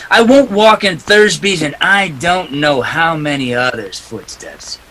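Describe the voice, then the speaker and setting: A man's voice: a little high, expressive, unhurried, bright, forceful tone, slight background noise, rough recording. A man acting a hard-boiled, unyielding private detective in 1940s radio drama style, tough and emphatic. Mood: hard, insistent.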